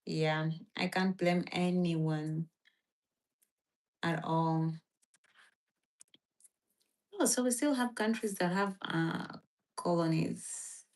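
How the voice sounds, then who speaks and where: A woman's voice: on the low side, very slow, long pauses, a little mellow, poor audio. A woman chatting casually on a live stream, rambling with lots of fillers as she thinks aloud and reads from a search. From Philippines. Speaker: livestream host.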